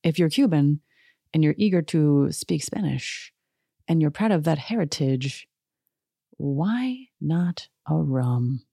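Low-voiced sarcastic woman podcaster. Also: lively intonation, slow, gravelly, warm tone, breathy edge, no echo, studio quality. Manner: sarcastic, chatty, laughs often. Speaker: podcast host. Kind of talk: casual chat.

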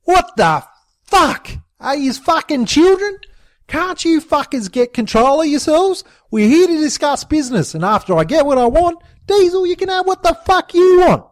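A man voicing a blustering, crooked older bogan man with a bad leg, shouting and swearing in an Australian accent. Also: extremely high pitch, sing-song, normal speed, dry recording, studio quality, voice pushed up. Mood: bossy yelling.

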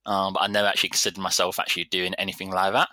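Bright-voiced man in his thirties. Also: low voice, monotone, very fast, dry recording. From London, England. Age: 30s. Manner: easygoing, rambling storyteller. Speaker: vet surgeon guest. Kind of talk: casual interview chat.

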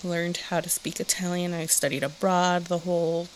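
A woman's voice: lowish voice, flat delivery, moderate pace, slight background noise, rough recording. A young woman from Southern California, co-hosting a podcast and chatting casually, laughing often and saying "like" a lot. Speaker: podcast co-host. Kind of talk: casual chat.

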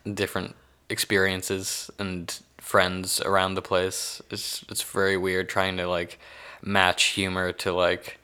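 A man with a Texan accent speaks in a low, crisp voice with a bright edge. He chats casually as a guest, wry and self-deprecating, often hesitating over how to put things. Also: monotone, takes it slowly. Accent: Texan American. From born in Hong Kong, raised between Texas and Pakistan. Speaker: interview guest. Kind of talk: casual interview chat.

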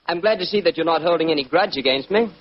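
Young English gentleman male actor, fairly high voice, clubby and brisk, dull vintage radio recording. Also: close to an everyday voice. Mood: jovial, rueful. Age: young adult. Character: young English medical man, jovially rueful friend. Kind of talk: 1940s radio acting. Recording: muffled 1940s radio recording.

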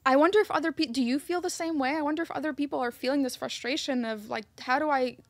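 Woman, higher voice, dry sound, hesitant, warm, co-host. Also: quick pace, no echo. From Egypt. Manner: warm, hesitant, self-interrupting. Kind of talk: casual chat.

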